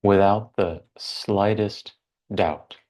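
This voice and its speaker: Male meditation guide, deep register, extremely unhurried, deliberate short phrases set apart by silence. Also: steady tone, darkish tone. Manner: measured, deliberate, with long pauses between short phrases.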